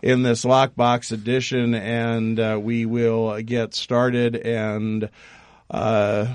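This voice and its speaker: A man's voice: medium pitch, little pitch movement, very slow, no room sound. A man hosts a morning radio panel, chatty and prone to rambling asides that poke fun at himself. His voice sits mid-range, and the studio sound is close and dry. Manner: chatty, rambling, self-deprecating. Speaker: radio panel show host.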